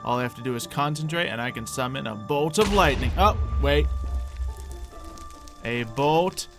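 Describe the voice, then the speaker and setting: Man chatting casually over loud music. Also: mid-range voice, normal speed. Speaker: gaming video creator. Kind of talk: casual improvised Minecraft roleplay commentary. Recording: music under the voice. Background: game music.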